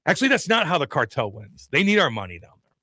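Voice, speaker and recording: A man on a sports talk radio show with a forceful, bright voice, recorded dry and close on a studio mic. He argues emphatically, hammering the same points again and again in casual banter. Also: a little high, very animated, fast talker, no room sound. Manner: loud, emphatic, repeats himself to argue.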